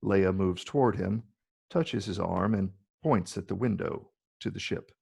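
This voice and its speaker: Man, lowish pitch, gravelly, husky, measured narration of script stage directions. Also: darkish tone. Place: virtual read, recorded remotely.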